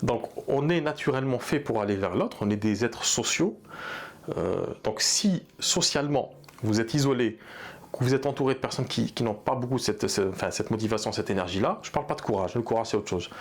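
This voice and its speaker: A man's voice: medium pitch, brisk. A man coaching therapy practitioners in an unscripted talk, speaking earnestly and hesitantly with many fillers and restarts. He also speaks Arabic. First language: bilingual in Arabic. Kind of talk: unscripted advice talk to camera.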